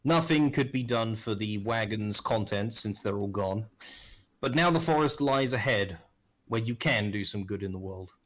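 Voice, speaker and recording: A man's voice: fairly low pitch, lively intonation, moderate pace, a bit bright. A man in his thirties narrating a live online tabletop game as game master. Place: indoors at home, on webcam.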